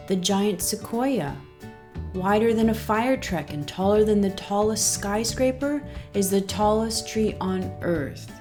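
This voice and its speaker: A woman reading a scripted children's story as narrator, in an expressive, deliberate storytelling manner. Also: mid-range voice.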